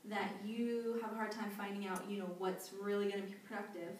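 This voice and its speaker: A woman's voice: mid-range voice, fairly quick. Chatty young woman in an echoey hall. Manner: chatty, animated, laughs often, many "you know" and "like" fillers. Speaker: interviewee, volunteer back from abroad. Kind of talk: conversational interview answers. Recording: echoey hall, distant mic, faint noise.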